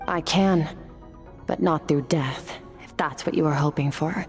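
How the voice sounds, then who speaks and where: An actress playing a cool, ageless woman warrior, calm, detached and philosophical. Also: measured pace, faint music behind. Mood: stern. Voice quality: husky, low and composed. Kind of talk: video game voice acting.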